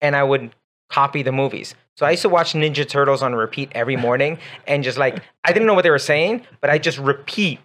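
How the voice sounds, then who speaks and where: A man's voice: medium pitch, fairly quick, a bit bright. Easygoing man, first language Spanish. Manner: easygoing, reads out listener questions. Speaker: co-host, English teacher. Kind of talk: casual advice chat.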